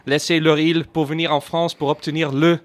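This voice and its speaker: A man's voice: on the high side, flat delivery, brisk, dry recording. A man from the Netherlands speaking French with a Dutch accent, chatting playfully on a talk show with frequent fillers and laughs. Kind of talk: chatty radio column.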